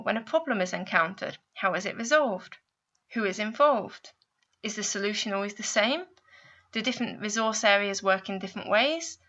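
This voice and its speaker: A woman's voice: medium pitch, fairly bright tone, muffled recording. A woman reads a scripted instructional voice-over as a placement adviser, explaining step by step and putting guiding questions to the listener. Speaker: university placement adviser narrating a guidance video.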